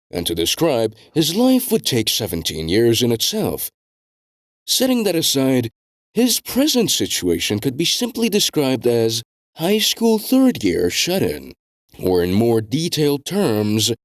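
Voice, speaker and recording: A man reading scripted story narration in a measured, deliberate storytelling delivery. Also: mid-range voice, no echo, clean studio sound. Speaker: narrator of a web novel fandub.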